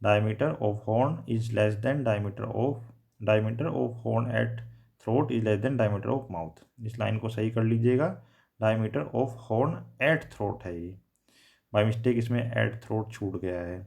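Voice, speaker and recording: A man's voice: on the low side, drawn-out words, gravelly, darkish tone. A man teaching a technical lesson, repeating and rephrasing his points and mixing in English terms. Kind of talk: explanatory technical lecture.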